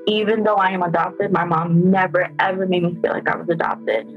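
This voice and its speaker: Young woman, personal testimony, flat even delivery, halting repeats, slightly muffled sound, faint music underneath. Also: lowish voice. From El Salvador, raised in the United States. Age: 20s. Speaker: interviewee.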